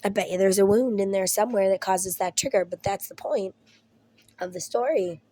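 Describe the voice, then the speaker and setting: A woman's voice: mid-range voice, moderate pace, mellow. A young woman, ill and losing her voice, chatting casually to camera at home, rambling and swearing with laughing asides. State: ill with Covid. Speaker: vlogger.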